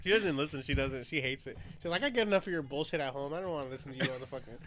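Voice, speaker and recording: A man's voice: medium pitch, racing, a bit bright. A man in his thirties hosting a bawdy, casual group chat, joking and swearing with frequent laughter. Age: 30s. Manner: joking, profane, laughs often. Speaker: podcast host.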